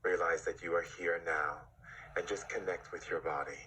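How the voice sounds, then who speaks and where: A man leading a guided meditation with a husky, breathy voice, giving hypnotic, directive instructions with long pauses. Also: low-pitched, fairly even intonation, sharp tone, slight background noise.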